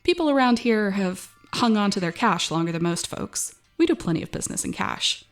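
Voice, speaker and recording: A woman's voice: mid-range voice, big pitch swings, fairly quick, soft background music, lightly acted. A woman playing an uneasy, wry young female cashier, narrating an audio drama in a wary, conversational first person. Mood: wary fascination.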